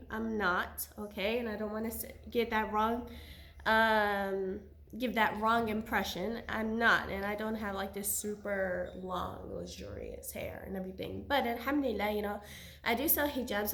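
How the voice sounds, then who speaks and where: A woman in her thirties, recovering from a cold, chatting casually and warmly as she shares her hair care routine. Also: a little high. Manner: chatty, friendly, sprinkles religious phrases. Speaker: hijab seller and vlogger. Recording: echoey room.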